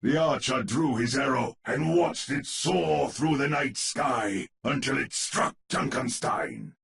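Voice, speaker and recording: An actor playing a grave storyteller, narrating a gothic horror tale in a theatrical, measured, ominous manner for a game. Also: male voice, mid-range voice, vocal fry, a bit bright. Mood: ominous, dramatic. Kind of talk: dramatic scripted storybook narration.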